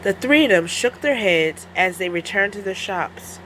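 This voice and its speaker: A woman storyteller narrating a children's story in an expressive, deliberate read-aloud style. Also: medium pitch, slight background noise, rough recording.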